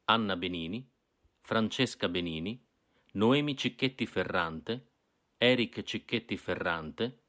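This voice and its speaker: Low-pitched male radio announcer. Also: pushed and bright. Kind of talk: scripted radio credits read-out.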